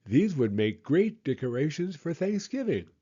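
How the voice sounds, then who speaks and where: A man's voice: a little high, very animated, moderate pace, husky, muffled recording. A man voicing a kind, encouraging mother cat in a children's storybook. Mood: pleased, encouraging. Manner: gentle, encouraging.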